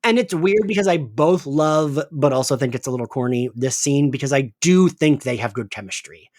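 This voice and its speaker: A man's voice: medium pitch, animated, normal speed, darkish tone, no room sound. Dry-sounding, informal male podcast host. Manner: informal, acts out paraphrased dialogue. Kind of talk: casual episode recap chat.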